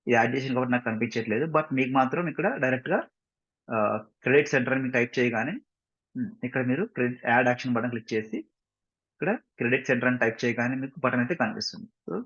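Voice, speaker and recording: A man with an Indian accent giving an informal step-by-step software tutorial as instructor, mixing in English phrases and often checking understanding with short questions. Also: medium pitch, quick pace. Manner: mixes English phrases into his explanations. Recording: dull, muffled lo-fi microphone.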